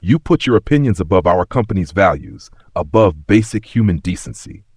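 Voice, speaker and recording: A man voicing a calm, stern, quietly menacing airline CEO in an audio story, measured and firm. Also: deep voice, slightly rough, no room sound, studio quality.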